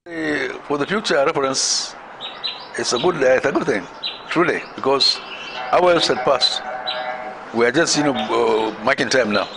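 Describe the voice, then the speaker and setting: A man's voice: mid-range voice, lively intonation, moderate pace, long pauses. A man with a Nigerian accent giving informal, reflective remarks in a hesitant way. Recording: noisy location with birdsong.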